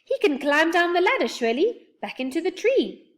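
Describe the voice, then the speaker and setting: A curious young girl in a storybook, eager and quick to worry. Her voice is high and springy, as a woman puts it on. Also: very animated, a bit bright, full character voice. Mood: alarmed. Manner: eager, alarmed.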